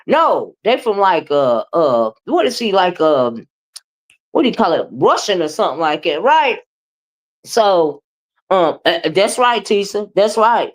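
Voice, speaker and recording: American lady doing a casual livestream, emphatic, keeps checking you're following along, medium pitched voice. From United States. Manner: emphatic and conversational, often ends phrases with a questioning tag. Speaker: livestream host. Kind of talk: casual livestream commentary, responding to chat.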